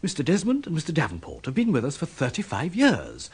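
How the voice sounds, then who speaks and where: An actor playing a deferential, fussy long-serving company official in a radio drama, hesitant, polite and earnest. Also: male voice, on the high side, wildly swooping pitch, fairly quick, a little mellow, slightly rough, full character voice. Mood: apologetic, earnest.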